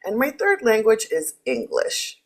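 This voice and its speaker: A woman whose first language is Spanish, chatting casually and playfully as a leadership coach. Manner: lively, playful, quick jumps in pitch. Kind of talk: casual chat.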